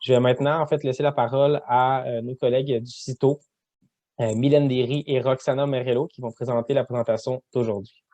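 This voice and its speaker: A male webinar host with a Quebec French accent speaks in a soft-edged, mellow voice. His delivery is halting as he makes semi-prepared announcements, and the sound is very dry and close. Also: medium pitch, fairly even intonation, no echo.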